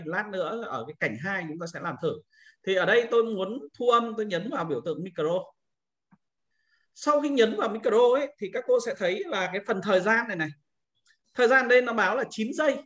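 A man's voice: sits high, a bit bright. A man giving an informal screen-recorded tutorial as an instructor, explaining things step by step and often repeating himself.

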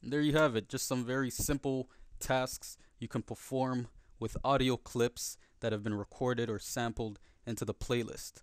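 Man, casual tutorial host, conversational, smooth clean voice, dry close recording. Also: mid-range voice, fairly even intonation, normal speed, hardly any pauses, no room sound. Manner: conversational, often checking in with "right?". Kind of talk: casual software tutorial narration.

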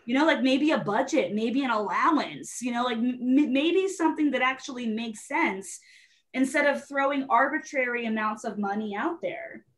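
Woman, twenties, fairly high voice, animated conversational educator, casual video call. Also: moderate pace. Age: 20s. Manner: animated, conversational, often checking in with "right?". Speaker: guest presenter, STEM educator. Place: small room at home, indoors. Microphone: webcam video call.

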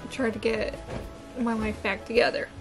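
A woman's voice: on the high side, little pitch movement, normal speed. A woman chatting casually in an upbeat way as she narrates a home vlog. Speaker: vlogger, host. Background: music.

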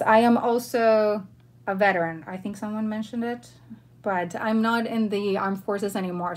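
A woman's voice: medium pitch, darkish tone. A woman in her thirties from Argentina hosting a casual livestream, chatting informally and in a friendly way with her viewers. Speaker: livestream host. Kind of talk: casual livestream chat, answering viewer questions. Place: bedroom at home, indoors.

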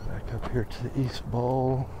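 A man with an American accent walks a construction site outdoors, talking casually to his camera and thinking aloud with plenty of pauses and fillers. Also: mid-range voice, flat delivery. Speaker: videographer on a walking site tour. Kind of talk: casual walking commentary. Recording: outdoor camera audio with noticeable background noise.

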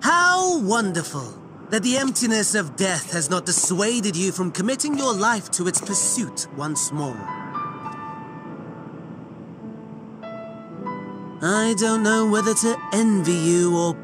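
A theatrical game villain, sly and mockingly polite. His voice sits high and swoops widely, drawn out slowly over music. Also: big pitch swings. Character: theatrical, sardonic hooded male villain, slyly courteous. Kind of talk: dramatic video game cutscene acting.

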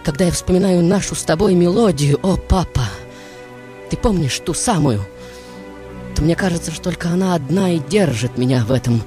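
A man's voice: fairly high pitch, normal speed, over music, voice pushed up, lightly acted. A man playing a young, homesick emigrant in a radio drama, exclamatory and anguished. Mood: wistful. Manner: theatrical, exclamatory. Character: young seasick Italian emigrant, anguished and homesick, writing to father.

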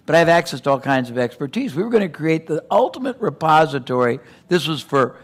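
An older man giving a keynote on a conference stage, talking in an animated way with quick digressions and restarts. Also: fairly high pitch. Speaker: keynote speaker. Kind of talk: conference keynote in seated interview format. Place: conference stage before a live audience.

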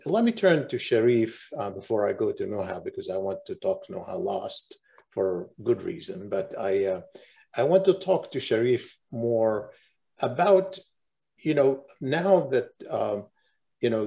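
Older guy hosting a formal online event, lowish gravelly voice. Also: darkish tone. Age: 60s or 70s. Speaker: host, moderator, professor. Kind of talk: moderating a formal online tribute. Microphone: video call microphone.

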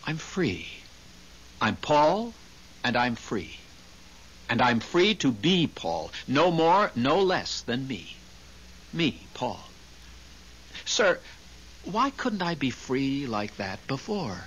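A man's voice: medium pitch, very animated, slow, pauses often, fairly bright tone, husky, muffled recording, full character voice. A man playing an earnest, anxious ghost of a husband, speaking hesitantly in a 1970s radio drama. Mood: elated, earnest. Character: earnest, anxious newly dead husband in heaven. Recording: hissy old radio recording.

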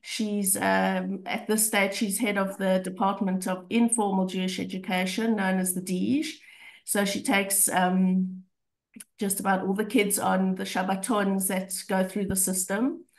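A woman in her fifties with a South African accent, croaky from a slight cold, giving an informal personal update on a video call. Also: medium pitch, little pitch movement, measured pace. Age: 50s. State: mild cold. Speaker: class reunion participant.

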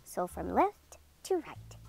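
A woman reading a picture book aloud to children, enunciating each word deliberately and sounding out vocabulary with animated emphasis. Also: mid-range voice, creaky, husky, faint music behind, low-quality recording. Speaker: read-aloud host for children.

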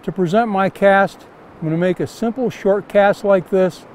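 Older male instructor with a high voice, outdoors in a river on a lapel mic, faint background hum, repeating simple casting steps. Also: moderate pace. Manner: plain, repetitive step-by-step instruction. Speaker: fly fishing instructor.

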